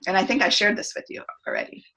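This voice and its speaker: A woman's voice: mid-range voice, wildly swooping pitch, quick pace, vocal fry, sharp tone, slightly rough, rough recording. A woman, a singer and voice coach, talking as a podcast guest and telling a reflective personal story with many restarts and fillers. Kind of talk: casual podcast interview.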